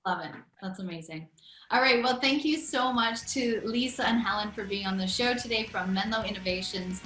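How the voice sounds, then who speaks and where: A woman hosts an interview with upbeat enthusiasm, laughing often and answering her guests with quick affirmations. Her voice is bright and forward, and the room around her rings with noticeable echo. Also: medium pitch. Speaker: podcast host.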